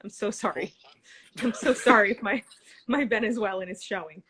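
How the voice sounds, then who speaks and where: A woman co-hosting a livestream in casual chat, expressive, chatty and self-deprecating. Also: mid-range voice, normal speed, breathy edge, poor audio. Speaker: livestream co-host.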